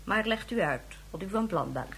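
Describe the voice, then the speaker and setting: An actress in a Dutch radio drama playing a stern, reproachful government leader, formal, cutting and authoritative, with a slightly husky voice. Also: female voice, mid-range voice, expressive, normal speed, a bit bright, full character voice. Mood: stern, skeptical.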